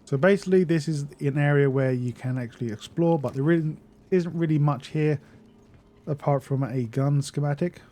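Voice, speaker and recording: A man's voice: a little high, normal speed, mellow. A man giving a casual video game walkthrough as a guide narrator.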